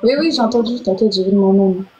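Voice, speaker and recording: Calm, reassuring woman on a live stream. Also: medium pitch, fast talker, poor audio. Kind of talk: casual livestream chat. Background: music.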